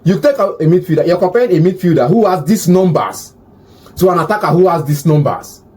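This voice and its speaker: A man in a heated football debate, arguing and repeating himself as he builds his point; his voice is hoarse. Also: high voice, expressive, a little fast, darkish tone. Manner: argumentative, repeats words while building a point. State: hoarse, says he has lost his voice. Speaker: football pundit.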